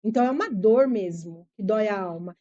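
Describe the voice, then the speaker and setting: A woman's voice: medium pitch, lively intonation, a little fast, mellow, muffled recording. A middle-aged woman with a São Paulo Brazilian accent, a psychologist guest on a podcast, speaking in an earnest, reflective way and explaining things patiently. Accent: São Paulo Brazilian Portuguese. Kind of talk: podcast interview, personal storytelling.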